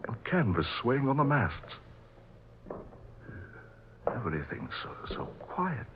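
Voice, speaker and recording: Man playing an awed, slow-spoken sailor with swooping pitch. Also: vocal fry, a little hiss or hum, heavily acted. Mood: hushed, eerie. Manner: dramatic, halting, wondering. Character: awed, bewildered seafaring man exploring a ghost ship. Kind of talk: old-time radio drama acting. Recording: muffled old radio sound with music underneath.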